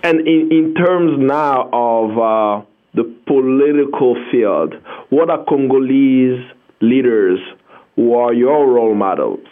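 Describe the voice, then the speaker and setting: A man co-hosting a radio interview, asking measured, probing questions. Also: mid-range voice, narrow, tinny audio. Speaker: radio co-host.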